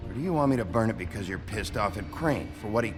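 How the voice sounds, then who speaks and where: Gruff weary sheriff played by a man, lowish voice. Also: lively intonation, quick pace, music in the background, close to an everyday voice. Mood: firm, weary. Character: gruff, weary male sheriff, blunt but sympathetic. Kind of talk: video game voice acting.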